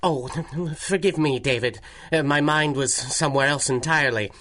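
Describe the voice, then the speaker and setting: An actor playing a genteel, absent-minded older museum scholar in a radio drama, speaking formally and hesitantly. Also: male voice, on the high side, normal speed, sharp tone. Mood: genial, absorbed.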